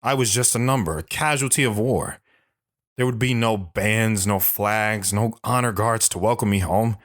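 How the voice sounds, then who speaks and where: Man acting a haunted, low-voiced war veteran. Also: normal speed, dry recording, studio quality, lightly acted. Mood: weary, bitter. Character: bitter, haunted older male army sergeant, war survivor. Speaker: dramatic monologue narrator. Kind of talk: audio drama storytelling.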